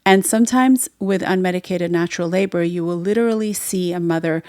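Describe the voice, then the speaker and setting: A woman's voice: lowish voice, a little fast, a little mellow, smooth, dry recording, studio quality. A woman whose first language is Farsi, hosting her own podcast and telling her story in an earnest, reflective way. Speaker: podcast host and midwife. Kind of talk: solo podcast monologue.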